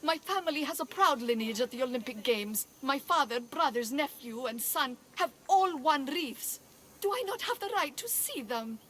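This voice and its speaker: A woman's voice: high voice, expressive, normal speed, fairly bright tone. A woman playing a proud, earnest married mother from ancient Greece, pleading, in a video game. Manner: earnest, imploring. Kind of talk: video game character acting.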